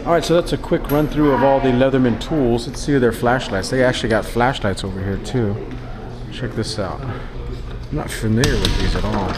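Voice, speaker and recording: A man casually talking to himself while browsing products in a shop, exclaiming now and then, recorded on a head-mounted camera. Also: medium pitch. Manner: musing to himself, exclaiming at items. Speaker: vlogger touring a shop. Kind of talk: casual handheld product walkthrough. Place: indoor retail store. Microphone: head-mounted action camera.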